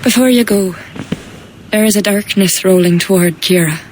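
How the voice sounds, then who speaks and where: A woman's voice: mid-range voice, moderate pace, darkish tone, slight background noise, natural voice. A woman playing a grave, mystical druid healer in a video game, speaking in a commanding, incantatory way. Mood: grave, instructing. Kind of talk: dramatic video game cutscene acting.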